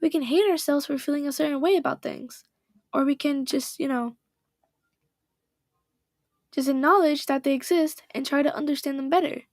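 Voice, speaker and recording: A calm, caring teenage girl who runs a club, voiced by a woman. Her voice sits high and soft, reassuring and earnest. A slight hoarseness shows through. The sound is dry and close. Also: moderate pace, pauses often, no room sound. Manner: gentle, reassuring. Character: poised, caring teen girl club president.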